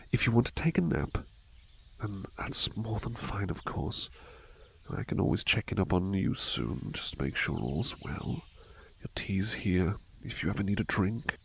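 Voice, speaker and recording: A man playing a devoted, fussing servant in a soft-spoken ASMR roleplay, gentle and deferential, hesitating and repeating his reassurances. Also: on the low side, creaky, slight background noise, heavily acted. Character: devoted, fussing male servant tending a sick master. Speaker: roleplay performer.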